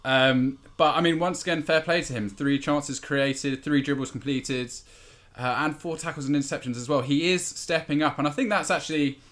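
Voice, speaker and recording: A man giving conversational football analysis as a podcast pundit, measured and articulate. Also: on the high side, steady tone, fairly bright tone.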